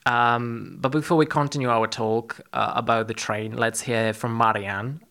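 A man hosting a podcast in a conversational interview style, explaining things haltingly with frequent pauses. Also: mid-range voice, steady tone, creaky, pushed and bright. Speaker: podcast host.

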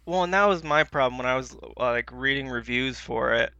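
A man's voice: medium pitch, brisk, bright, forceful tone, smooth, no echo, dull audio. A man co-hosting a podcast, chatting casually in a hesitant, rambling way. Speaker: podcast co-host. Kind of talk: casual chat about films.

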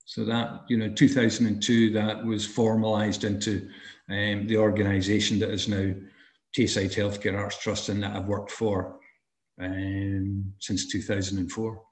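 A man's voice: fairly low pitch, flat delivery. Scottish man in his sixties, echoey call. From Scotland. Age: 60s. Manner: thoughtful and unhurried, many ums and restarts. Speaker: interviewee, arts and health practitioner. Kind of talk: oral history interview. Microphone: video call. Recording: echoey room over a video call.

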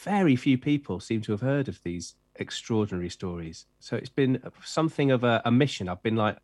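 A man's voice: medium pitch, expressive, a little fast, a little mellow, no room sound, studio quality. A middle-aged man who grew up in Wales, an author chatting enthusiastically in an interview with lots of fillers and restarts. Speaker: children's author and editor. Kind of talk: interview chat.

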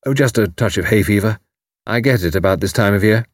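A man's voice: lowish voice, a little fast, no echo, clean studio sound, lightly acted. A man voicing a mild, agreeable Englishman in an audiobook, speaking politely and a little diffidently with an English accent. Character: mild, agreeable British man working undercover.

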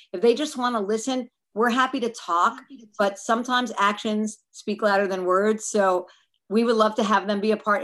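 A woman's voice: medium pitch, fairly bright tone. A woman who co-founded a nonprofit speaks frankly and earnestly about mental health in a casual interview. She often restarts her sentences.

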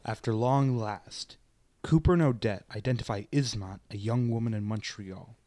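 A man's voice: fairly low pitch, moderate pace, a little mellow, dry recording. A man reading scripted narration for a radio play.

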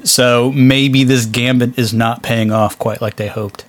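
A man's voice: mid-range voice, steady tone, measured pace, no room sound. A man co-hosting a podcast in casual, conversational chat. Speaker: podcast co-host.

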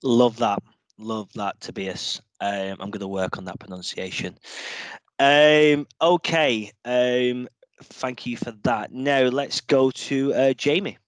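A man hosting a podcast with an upbeat, filler-laden delivery. His voice is mid-pitched and sounds close and dry, with no room around it. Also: takes it slowly, no room sound. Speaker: podcast host.

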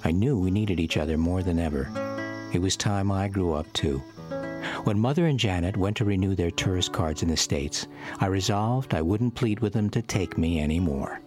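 A man narrating a scripted first-person memoir for a radio drama in a measured storytelling manner. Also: low voice. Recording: music bed under the voice.

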